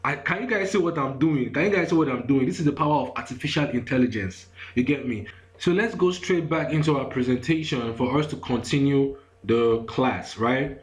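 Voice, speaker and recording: A man with a Nigerian accent leads an online lesson in a voice of middling pitch. He repeats his points and keeps asking whether the listener follows. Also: brisk, poor audio. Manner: repetitive, keeps asking "you get me?". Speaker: online course instructor. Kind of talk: screen-share lesson.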